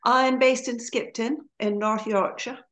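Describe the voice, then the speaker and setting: A woman's voice: fairly high pitch, fairly even intonation, unhurried, a bit bright. A middle-aged woman from Scotland with an Aberdeen accent, chatting as a guest on a podcast and laughing easily as she talks. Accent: Scottish, Aberdeen. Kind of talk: casual interview chat.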